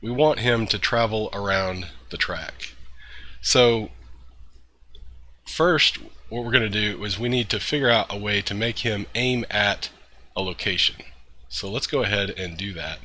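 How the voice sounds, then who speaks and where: A man hosting a programming tutorial, a little congested from a cold, talking through code steps in a relaxed way with fillers and throat-clearing. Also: fairly low pitch, measured pace. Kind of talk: screen-recorded coding tutorial.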